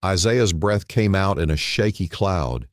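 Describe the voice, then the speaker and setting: A man narrating a dramatic story in a solemn, deliberate way, in short phrases with dramatic pauses. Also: sits low, expressive, no room sound, clean studio sound.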